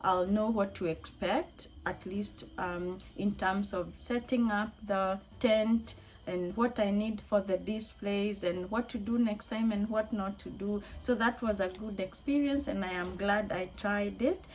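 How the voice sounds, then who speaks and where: A woman with a slightly hoarse voice, chatting casually and upbeat in a rambling vlog recap as a craft hobbyist. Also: mid-range voice, unhurried. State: slightly hoarse from being unwell. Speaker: crochet hobbyist and craft vendor vlogger.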